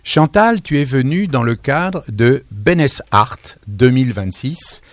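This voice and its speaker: Male radio presenter, mid-pitched and very animated, chatty, digressive, close dry studio sound. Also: a little slow, no echo. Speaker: radio host. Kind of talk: lively radio show presenting and interviewing. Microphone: studio broadcast microphone.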